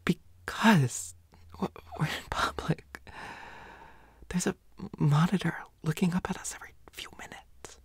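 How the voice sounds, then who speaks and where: Woman voicing a breathy, flustered pouty schoolboy. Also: on the low side, dry recording, full character voice. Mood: nervous, evasive. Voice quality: breathy, hushed, often whispering. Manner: stammering, flustered, halting. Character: flustered pouty teenage schoolboy, indignant but secretly smitten. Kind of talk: intimate roleplay audio acting.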